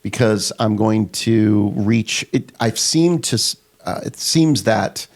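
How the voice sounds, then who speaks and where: A man whose first language is German, co-hosting a casual podcast in a relaxed, agreeable way. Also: on the low side, slow pace. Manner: relaxed, agreeable, with many short affirmations. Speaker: podcast co-host.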